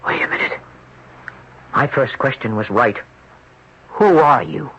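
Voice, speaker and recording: A man's voice: mid-range voice, unhurried, fairly bright tone, breathy edge. An actor playing a young man who wakes up in a rich stranger's body, talking in an anxious, bewildered way, and also narrating. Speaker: narrator and lead. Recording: dull old radio broadcast with faint hiss.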